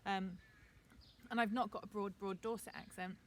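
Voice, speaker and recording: A woman teacher with a received pronunciation accent gives a chatty, relaxed video lesson outdoors in her garden, with frequent ums. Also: medium pitch, normal speed, slight background noise.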